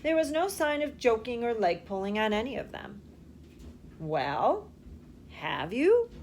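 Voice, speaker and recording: Female primary teacher, very lively intonation, children's read-aloud style, direct questions to young listeners, faint ambient noise. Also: on the high side, a little fast. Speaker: third-grade teacher reading aloud.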